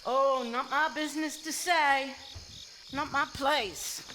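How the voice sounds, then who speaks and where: A woman's voice: sits high, steady tone, slow pace, sharp tone, heavily acted. An actress playing a folksy, sly and guarded country woman in a live stage drama, with a Southern US accent. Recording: live stage recording, hiss and night insects.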